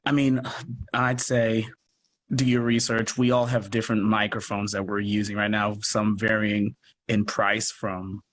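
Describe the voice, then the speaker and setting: Low-voiced man with background noise, chatting earnestly. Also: level tone, a little slow, a bit bright. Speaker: podcast producer and guest. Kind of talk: casual online interview chat. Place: live social media video call.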